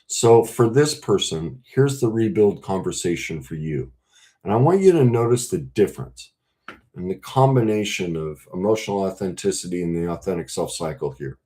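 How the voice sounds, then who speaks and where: A man speaking as a relationship coach, earnest and emphatic, breaking his talk into short, deliberate phrases. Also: deep voice, lively intonation, warm tone. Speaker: relationship coach and podcast host. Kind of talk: coaching talk to camera with role-played example conversations.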